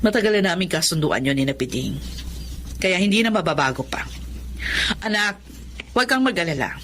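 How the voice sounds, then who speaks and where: A woman's voice: on the low side, expressive, a little fast, a bit bright, slight background noise. An actress playing a firm, domineering middle-aged mother and company owner in a Filipino melodrama. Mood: insistent. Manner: firm, imperious.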